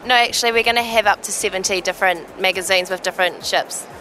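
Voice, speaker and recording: Polished saleswoman, bright tone. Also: fast talker, a little hiss or hum, low-quality recording. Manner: polished, sales-pitch delivery. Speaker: sales representative. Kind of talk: product pitch.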